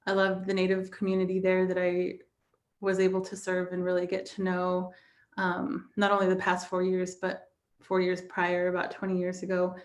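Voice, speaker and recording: A woman's voice: fairly low pitch, monotone, moderate pace. An American woman in her forties from the Navajo Nation, talking reflectively about personal experience as a panelist. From Navajo Nation, southwestern United States.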